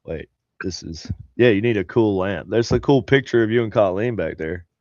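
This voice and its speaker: A low-voiced man co-hosting a casual paranormal chat, sounding stuffed up and nasal from a head cold. He rambles and stops himself often as he searches for things. The sound is dry and a little dull, like a lo-fi home setup. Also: a little mellow, no room sound. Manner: rambling, halting, many fillers. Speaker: podcast co-host. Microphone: home desk setup on a video call.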